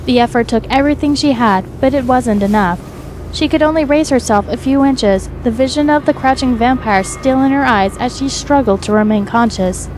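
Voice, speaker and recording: A woman's voice: on the high side, moderate pace, a little mellow, slight background noise, low-quality recording. A woman reading scripted narration for a dramatic fan fiction audio story. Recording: music behind the voice. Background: music.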